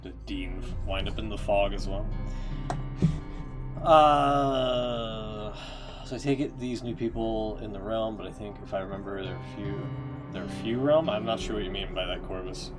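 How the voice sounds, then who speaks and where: A man with a fairly low voice gives casual stream commentary. He rambles in a halting, wry way, with guitar music clearly playing behind him. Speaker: game streamer.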